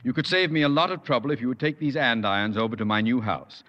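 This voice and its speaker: An actor playing a pompous, exasperated middle-aged school principal in a 1950s radio sitcom, blustery and sarcastic. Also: male voice, mid-range voice, fast, lightly acted. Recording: dull old radio broadcast with reverb and faint hiss.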